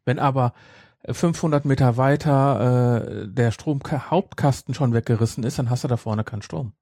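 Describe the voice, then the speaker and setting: A man co-hosting a fan podcast in a casual, explanatory way. His voice is soft-edged and husky, recorded close and dry, as he presses on through the details. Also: mid-range voice, normal speed, no room sound. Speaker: podcast co-host.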